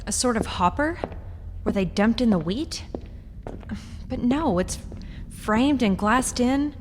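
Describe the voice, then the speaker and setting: A woman's voice: fairly high pitch, lively intonation, slow. A woman playing an uneasy but curious wife in a radio horror play, hesitant and full of wonder. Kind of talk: radio horror drama acting. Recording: reverberant, noisy radio drama recording.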